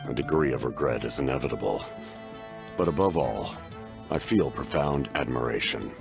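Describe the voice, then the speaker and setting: A man's voice: extremely low pitch, expressive, normal speed, vocal fry, over music, full character voice. A man playing a grave, courteous military captain in a video game, speaking formally and with restraint. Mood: grave, respectful. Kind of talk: scripted video game voice acting.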